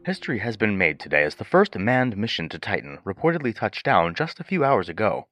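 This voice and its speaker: Deep, bright-voiced newsreader. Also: male voice, sing-song, brisk, soft background music. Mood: proud, formal. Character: male newsreader announcing a historic space mission. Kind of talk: broadcast news delivery.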